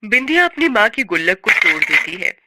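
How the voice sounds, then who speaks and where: A woman's voice: medium pitch, very animated, a little fast, heavy background noise. A woman narrating a scripted story in a dramatic storytelling style.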